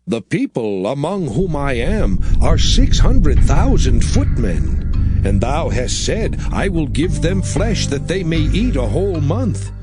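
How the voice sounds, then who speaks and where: Casting: burdened biblical prophet, highish voice, widely animated, impassioned, over background music. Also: male voice, takes it slowly. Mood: incredulous. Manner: impassioned, theatrical pleading. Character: Moses, burdened male prophet, anguished and pleading. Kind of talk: dramatized scripture reading.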